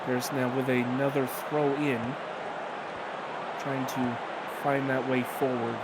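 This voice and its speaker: A man calls the action of a simulated soccer match in a measured, descriptive way, describing each pass and chance as it happens. Loud noise runs under his voice. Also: mid-range voice, little pitch movement. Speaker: play-by-play commentator. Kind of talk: play-by-play commentary.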